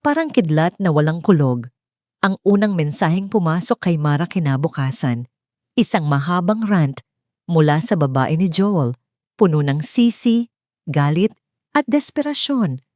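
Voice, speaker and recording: Female Filipino storyteller for scripted drama narration; lower register, soft dark tone, close dry recording. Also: animated, measured pace, no room sound.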